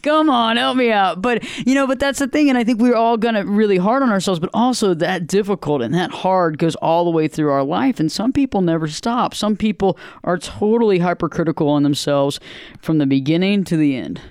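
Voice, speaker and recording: Clear-voiced man, close and dry. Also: high voice, fairly quick, no room sound. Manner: earnest, emphatic, with acted-out inner voices. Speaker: podcast host and therapist. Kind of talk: casual conversation.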